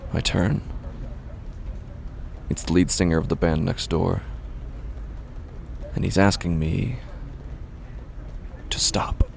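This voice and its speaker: A man playing a desperate, resentful busker who tells his own horror story in a brooding, gravelly voice that can drop to a hush. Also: low voice, a little hiss or hum, heavily acted. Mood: weary defiance. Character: desperate, resentful male street busker narrating his descent. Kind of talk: first-person horror audio drama narration.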